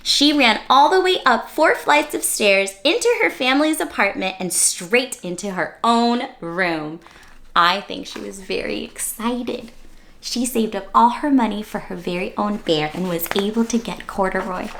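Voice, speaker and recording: A woman's voice: mid-range voice, unhurried, fairly bright tone. A woman hosting a children's read-aloud, narrating expressively with exclamations and questions to the listener.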